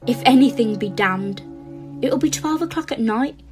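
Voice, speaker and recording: A woman playing a dark, sardonic narrator in a theatrical Jacobean verse audio drama. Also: on the high side, expressive, moderate pace, natural voice. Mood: darkly moralising. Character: dark, sardonic narrator of night-time sins. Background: plucked guitar music.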